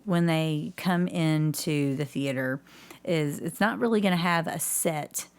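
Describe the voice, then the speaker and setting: A woman's voice: deep voice, unhurried, clear, resonant tone. A woman speaking as an enthusiastic guest in a casual interview about her own work. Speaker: podcast guest, community theatre actor and director.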